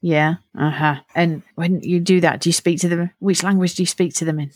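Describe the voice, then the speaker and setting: Woman, middle-aged, podcast host, patient, encouraging, slightly low voice, dry sound. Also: fairly even intonation, brisk, dry recording. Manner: patient, encouraging, asks simple follow-up questions. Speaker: podcast host interviewing a child. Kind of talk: friendly interview questions.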